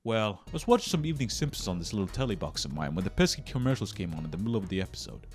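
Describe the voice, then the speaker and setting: A man's voice: lowish voice, sing-song, racing. A man hosting a comedic scripted video essay, melodramatic and mock-serious, with sudden emphatic bursts. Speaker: YouTube video essayist and host. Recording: clear music under the voice.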